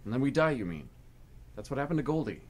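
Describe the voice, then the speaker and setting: A man's voice: medium pitch, sing-song, fast. A man playing a doubting, sarcastic goldfish in a comic radio play. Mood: doubtful, sardonic. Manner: sceptical, sarcastic. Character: doubting, sardonic pet goldfish. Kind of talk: stage radio comedy acting.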